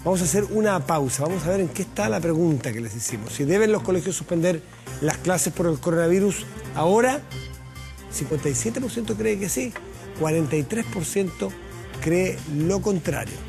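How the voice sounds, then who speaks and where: A man hosting a studio TV interview, asking direct, lively questions. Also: high voice, normal speed, creaky, music in the background. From Chile. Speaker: TV host.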